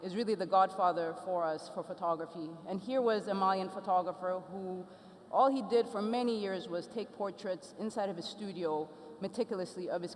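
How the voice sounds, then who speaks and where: A woman from Ethiopia with an Ethiopian accent, giving an earnest, reflective conference talk with frequent ums and uhs. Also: lowish voice. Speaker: festival speaker. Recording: reverberant hall.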